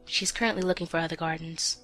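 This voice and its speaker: Woman playing a lowish-voiced dutiful aide. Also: steady tone, fast talker, fairly bright tone, soft background music. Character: deferential female aide with hidden bitterness. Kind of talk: audio drama acting.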